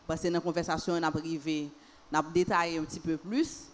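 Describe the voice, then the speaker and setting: A woman's voice: sits low, little pitch movement, brisk. A Haitian woman hosting a panel discussion, speaking unhurriedly and repeating phrases for emphasis. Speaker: panel host.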